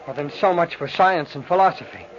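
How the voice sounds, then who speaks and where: A man's voice: a little high, lively intonation, a little fast, close to an everyday voice. A man playing a haunted, hot-tempered young nobleman in an old radio horror drama, theatrical and intense. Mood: bitter, demanding. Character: haunted, raging young nobleman obsessed with his lost shadow. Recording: dull, noisy old radio recording.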